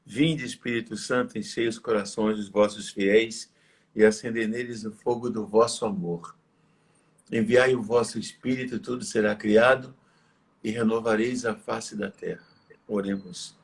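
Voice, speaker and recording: Hoarse, tired priest, husky. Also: male voice, lowish voice, steady tone. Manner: reverent, measured preaching with slow prayers. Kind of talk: live religious talk and prayer.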